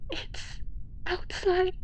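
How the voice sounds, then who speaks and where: A woman playing a terrified young female caller in a horror audio story, halting, breathy and shrieking by turns, with something monstrous in her. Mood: terrified, whispering. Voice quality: breathy whispers and piercing shrieks. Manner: panicked, halting, drawn-out pleading.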